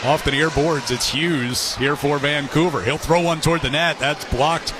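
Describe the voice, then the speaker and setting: A man's voice: a little high, fairly even intonation, normal speed, background noise. A man from the United States calling live hockey on the radio as play-by-play announcer, with a dry, wry sense of humour. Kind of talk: live sports commentary. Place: arena broadcast position.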